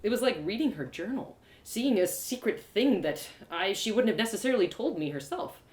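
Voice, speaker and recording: A woman's voice: medium pitch, expressive, moderate pace. A woman storyteller giving dramatic first-person narration of a tale. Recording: roomy echo of home live reading.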